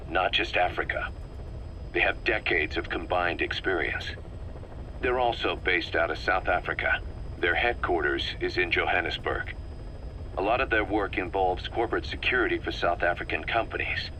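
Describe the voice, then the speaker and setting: Seasoned military briefer, video game narration, low pitch, forceful bright edge, measured, authoritative. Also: male voice, slight background noise, low-quality recording. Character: stern, seasoned mercenary officer giving intelligence briefings.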